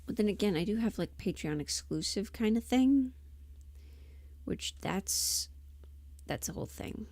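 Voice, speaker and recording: A woman with a mellow, creaky voice murmurs to herself in a casual livestream. She drifts along slowly, trailing off as she thinks aloud at her computer. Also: mid-range voice, expressive, dry recording. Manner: absent-minded muttering to herself, trailing off. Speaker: livestreamer and audio performer. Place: indoors at a computer, close dry sound. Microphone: desk microphone, sometimes spoken off-axis.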